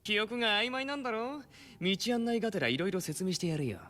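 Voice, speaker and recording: An actor voicing a cocky male creature guide in an anime voice drama, speaking in a rough, harsh dialect. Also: high-pitched, very animated, rapid-fire, bright, forceful tone, exaggerated voice. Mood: breezy.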